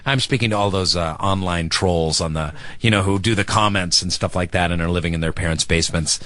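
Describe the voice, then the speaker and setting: A man's voice: deep voice, fairly quick, a bit bright, no room sound. A man hosting a talk show in casual radio chat, earnest and reflective with bursts of joking.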